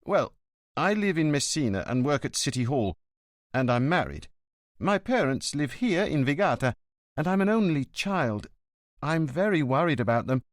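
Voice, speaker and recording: A man's voice: a little high, big pitch swings, moderate pace, no room sound, studio quality, pitched above the performer's own voice. A man voicing an anxious, worried grown son whose voice cracks as he pleads. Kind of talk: audiobook character voice.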